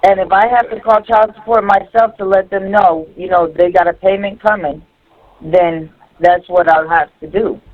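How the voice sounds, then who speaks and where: A woman's voice: mid-range voice, fairly even intonation, normal speed. Woman on a muffled phone line. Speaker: caller on a jail phone call. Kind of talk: casual phone conversation. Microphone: telephone. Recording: dull lo-fi recorded jail phone line.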